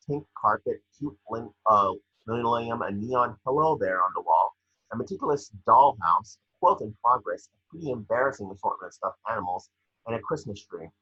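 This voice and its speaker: A man's voice: mid-range voice, lively intonation, a little fast, pushed and bright, slightly rough. A man reading scripted scene descriptions as narrator, stumbling now and then. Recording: echoey, muffled home microphone.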